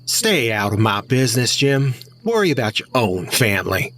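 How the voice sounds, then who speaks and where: A medium-pitched, domineering male pioneer, played by an actor, curt and quick to bristle. He orders his family about in a historical audio drama. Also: very animated, a bit bright. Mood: angry, curt. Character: bossy, hot-tempered pioneer husband and father.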